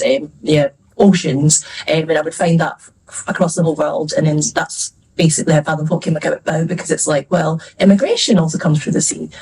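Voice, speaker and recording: A woman's voice: low voice, darkish tone, recorded from a distance, slight background noise, low-quality recording. A Scottish woman talking casually on a festival panel, chatty and rambling, laughing often. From Scotland. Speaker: author on a panel. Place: festival venue.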